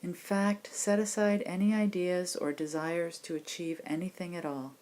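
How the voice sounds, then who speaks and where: Female meditation teacher, lowish voice, gentle and reassuring, leading a guided relaxation practice. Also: level tone. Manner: gentle, unhurried, reassuring instruction. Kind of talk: guided body scan meditation instruction.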